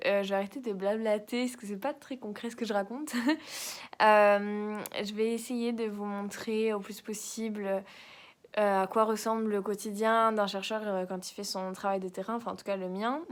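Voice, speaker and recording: A young woman, a doctoral student speaking French as a second language, talking casually in a video diary from a hotel room, hesitant and searching for words with light laughs. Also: mid-range voice. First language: not French.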